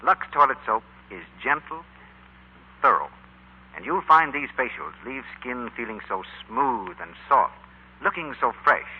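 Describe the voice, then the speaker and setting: Husky male vintage radio announcer. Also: mid-range voice, very animated, measured pace. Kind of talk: scripted 1940s radio sales pitch. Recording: dull old 1940s radio broadcast.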